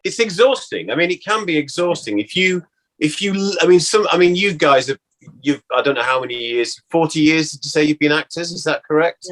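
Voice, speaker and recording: A man's voice: a little high, lively intonation, brisk, a bit bright, rough recording. A man talking candidly and wryly in a casual, reminiscing conversation as an interview guest. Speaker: interview guest, former actor.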